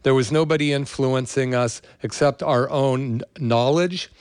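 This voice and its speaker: Older American man, clear smooth voice. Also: medium pitch, unhurried, darkish tone, no echo. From California, USA. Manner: rambling, conversational. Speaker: show host. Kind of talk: casual monologue with personal storytelling.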